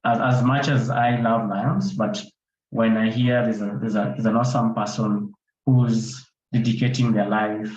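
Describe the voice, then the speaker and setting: A man's voice: fairly low pitch, steady tone, measured pace, a little mellow, slight background noise. A man with a Kenyan accent explaining his approach as a panelist, repeating points for emphasis and posing rhetorical questions. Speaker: conservation photographer and filmmaker, panelist. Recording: dull, roomy online-call audio.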